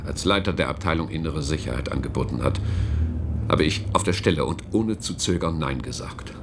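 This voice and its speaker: An actor playing a commanding, world-weary head of internal security in a German radio drama, forceful and deliberate in argument. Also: male voice, very deep voice, gravelly, background noise, full character voice.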